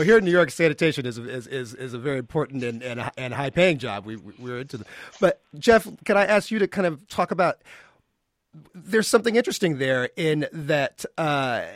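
A man hosting a live call-in radio show, speaking in an animated way that projects to callers. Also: mid-range voice, dry recording, studio quality. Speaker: radio host.

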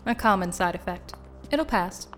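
A woman's voice: medium pitch, lively intonation, moderate pace, soft background music, lightly acted. A woman acting in an audio drama as a detached, procedural attendant with clipped, formulaic politeness. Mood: detached, patient. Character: detached, procedural female attendant, evasive and unbothered.

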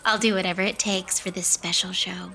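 A woman's voice: on the low side, flat delivery, fairly quick, vocal fry, bright, forceful tone. A woman playing a vain, pompous Broadway star in a scripted comedy audio drama, talking in a theatrical way. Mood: smug, catty. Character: haughty self-important Broadway diva star, indignant and vain.

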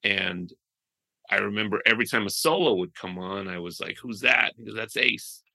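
Man, gravelly voice, bright tone, lowish pitch, chatty storyteller, lots of restarts. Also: moderate pace. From United States. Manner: animated storyteller, trailing restarts. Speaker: guest musician. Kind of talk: casual interview chat.

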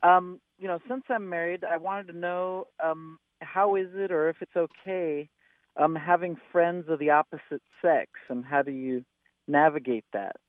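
A married man calling into a radio show with a question, hesitant and pausing with fillers. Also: fairly high pitch, no echo. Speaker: caller. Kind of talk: phone call-in question. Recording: tinny phone line.

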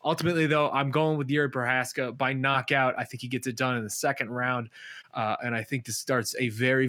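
A man's voice: medium pitch, fairly bright tone, no echo, studio quality. A man talking as a panelist in a casual sports discussion, offering a prediction. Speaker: panelist on an MMA preview show.

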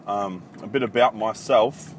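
A low-voiced Australian man in his thirties rambles through a solo podcast, swearing freely and hesitating with plenty of ums. It is recorded on a phone, with loud traffic and street noise behind him. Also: a little slow. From country Victoria, Australia. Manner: blunt, profane, rambling with many ums. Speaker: podcast host. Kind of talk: casual solo podcast monologue. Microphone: iPhone.

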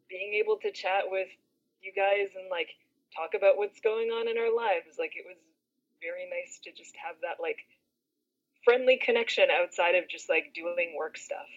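Woman chatting relaxed, lots of likes and ums, mid pitch. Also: normal speed, muffled recording. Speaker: voice actor. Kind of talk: casual chat.

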